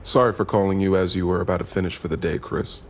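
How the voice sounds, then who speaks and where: Male cop, deep voice, weary but collegial sergeant, slight ambient noise behind. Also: steady tone, fast. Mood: tired, apologetic. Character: tired male police sergeant, businesslike and collegial. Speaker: police sergeant. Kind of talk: crime audio drama dialogue.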